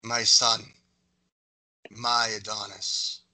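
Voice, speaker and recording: Guy reading a dying young greek man in a movie script, pleading, really sharp edgy voice. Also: fairly low pitch, little pitch movement, extremely slow, full character voice, low-quality recording. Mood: shocked, grieving. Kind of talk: screenplay table read.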